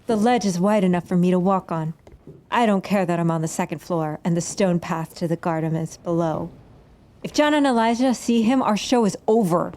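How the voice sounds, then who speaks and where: A woman's voice: lowish voice, moderate pace, natural voice. A woman playing an embittered, defiant stage magician in an audio drama, narrating her own story with dramatic intensity. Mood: tense, brooding. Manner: dramatic, swings from hushed narration to angry outbursts. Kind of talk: audio drama acting with first-person narration.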